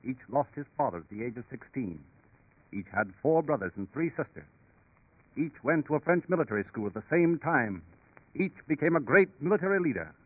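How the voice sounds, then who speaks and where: A man's voice: mid-range voice, sing-song, brisk, no echo. A man performing as a portentous, dramatic storyteller, narrating in scripted 1930s radio style. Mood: emphatic. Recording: tinny 1930s radio recording.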